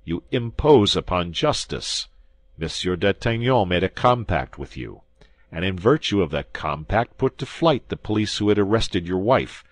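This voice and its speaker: Man doing stern commissary, deep voice, loud barked questions, lively swings, dry close sound. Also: normal speed, no room sound. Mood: accusing. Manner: loud, severe interrogating. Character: stern, blustering male police commissary.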